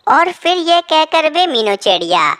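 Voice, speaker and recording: A woman's voice: high voice, big pitch swings, unhurried, fairly bright tone, no room sound. A woman narrating a scripted children's story.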